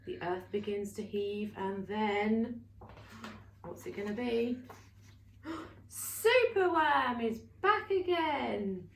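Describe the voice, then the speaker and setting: A woman reading a children's bedtime story aloud in an expressive, theatrical way with emphatic exclamations. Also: on the high side, slow, pauses often. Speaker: story reader for a family centre. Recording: roomy, distant mic, faint noise.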